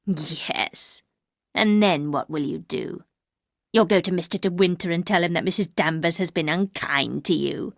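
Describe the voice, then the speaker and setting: Woman reader doing a harsh, cold older housekeeper; low, slow and accusing, dry close sound. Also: vocal fry, no echo. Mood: scornful.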